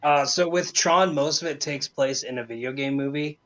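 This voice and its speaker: A man's voice: on the high side, moderate pace, fairly bright tone. A man giving his take as a panelist in casual, enthusiastic chat. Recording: dull lo-fi mic sound.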